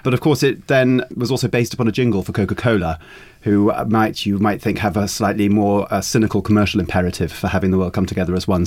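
Man, pop critic guest, articulate, wry, rapid delivery. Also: fairly low pitch, darkish tone. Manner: wry, articulate, formal phrasing. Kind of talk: podcast discussion.

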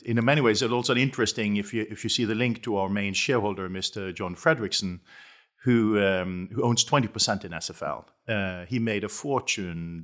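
Low-voiced, halting Norwegian businessman. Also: fairly bright tone. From west coast of Norway. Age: middle-aged. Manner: halting, with repeated words and hesitations. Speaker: interview guest, shipping company chief executive. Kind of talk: conversational interview.

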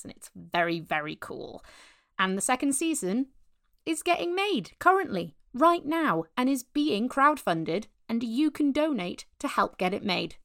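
A woman's voice: mid-range voice, fairly bright tone, no echo, clean studio sound. A woman giving a casual, enthusiastic podcast promo in an informal, chatty way. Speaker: podcast promoter, voice actor and director.

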